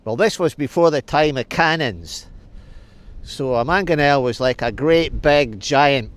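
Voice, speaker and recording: A man's voice: fairly high pitch, a little slow. A man telling a story for children, stopping to ask and answer questions. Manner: explains as he tells, asks and answers questions.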